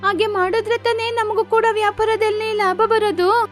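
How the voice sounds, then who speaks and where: Very high-pitched young sparrow daughter, voiced by a woman. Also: fairly even intonation, normal speed, faint music behind. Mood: earnest. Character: caring young sparrow daughter, eldest of three sisters. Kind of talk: cartoon moral story dubbing.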